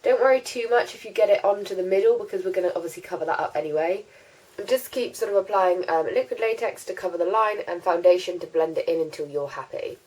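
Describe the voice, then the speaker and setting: Young woman host, stuffy head cold, chatty and relaxed, home tutorial feel. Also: fairly low pitch, fast, rough recording. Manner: chatty, many ums and likes. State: congested with a cold. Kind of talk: casual how-to chat.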